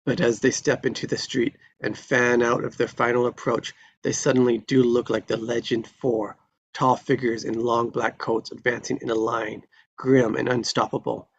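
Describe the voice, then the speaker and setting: A man with a creaky, gravelly voice at a middle pitch reads screenplay action as narrator. The recording is somewhat dull and lo-fi. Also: flat delivery, moderate pace, a little hiss or hum. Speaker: narrator reading stage directions. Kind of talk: scripted narration at a live table read.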